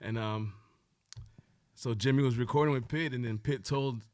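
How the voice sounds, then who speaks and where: A man's voice: medium pitch, moderate pace, a little mellow, dry recording. A man chatting casually as a musician guest on a podcast, laid-back and conversational. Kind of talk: casual chat.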